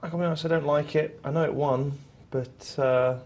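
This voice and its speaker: A man's voice: fairly high pitch, steady tone, brisk, darkish tone. A man co-hosting a live poker show, commenting on hands and bantering with a dry, self-deprecating humour.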